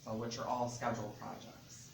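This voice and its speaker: Loud-voiced man in an echoey meeting room. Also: medium pitch, fairly even intonation, moderate pace, gravelly. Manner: methodical, walks through sections point by point. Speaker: state transportation department representative. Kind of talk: explaining an agreement at a public meeting. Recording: echoey hall, distant mic, background noise.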